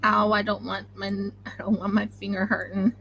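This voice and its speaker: A woman with an Oklahoma accent talks in an excitable, rambling way, hosting a casual sewing live stream from home. Her voice has a gravelly fry to it, and a faint hiss sits under the camera-mic sound. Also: mid-range voice, normal speed, muffled recording. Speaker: craft channel host.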